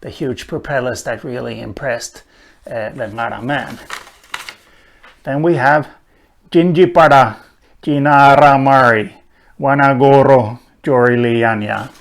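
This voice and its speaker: Slow, thoughtful man with Swedish roots. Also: medium pitch, fairly even intonation, creaky, a little hiss or hum. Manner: slow and thoughtful, with many "uh" pauses. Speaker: language teacher. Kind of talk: unhurried spoken lesson on a language.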